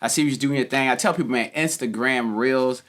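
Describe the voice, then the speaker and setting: A man's voice: medium pitch, brisk, fairly bright tone. Laid-back slangy man hosting a podcast. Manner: laid-back slangy talk with many fillers. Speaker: podcast host. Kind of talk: casual interview chat.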